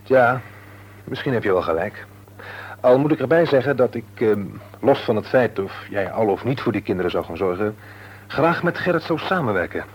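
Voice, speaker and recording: An actor playing an easygoing, dutiful married shopkeeper in a radio serial, talking naturally with a mildly wry manner. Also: male voice, on the low side, expressive, moderate pace, a little hiss or hum, lightly acted, rough recording. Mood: thoughtful. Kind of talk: Dutch radio serial drama acting.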